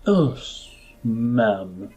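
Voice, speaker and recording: A man's voice: medium pitch, big pitch swings, extremely slow, mellow, slightly rough, lo-fi sound, faint music behind, exaggerated voice. A man voicing a nervous, servile tiefling butler in a fantasy game, stammering apologetically with formal deference. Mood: startled, deferential.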